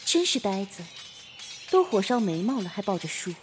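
A woman's voice: medium pitch, sing-song, a little hiss or hum, lightly acted. A woman playing a bold, playful young female assassin who teases coquettishly in a Chinese period audio drama. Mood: brisk, exasperated. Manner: coquettish, teasing, drawn-out. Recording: background music under the voice.

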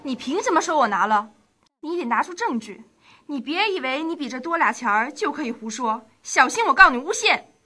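A woman playing a proud, hard-working working-class wife with a sharp tongue in a radio drama. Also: high-pitched, big pitch swings, brisk, heavily acted. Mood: indignant. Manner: indignant, scolding, sharp-tongued. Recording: amateur radio drama with music underneath.

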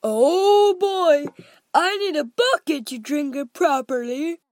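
A woman voicing a greedy, heedless young boy in a loud, exclamatory way. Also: sits high, lively intonation, slow pace, no room sound, pitched above the performer's own voice. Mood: greedy delight.

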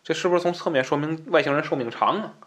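A man's voice: on the high side, fast talker, fairly bright tone. A man hosting a podcast, explaining a book in an informal, conversational way, with asides and repeated phrases for emphasis. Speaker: podcast host.

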